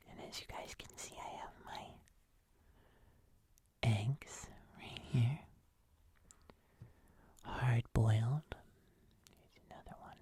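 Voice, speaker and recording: A woman voicing a gentle, nervous fuzzy monster in a breathy, hesitant ASMR whisper. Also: rumbling low, heavily acted. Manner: slow, soft, hesitant, with small pauses. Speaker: ASMR host.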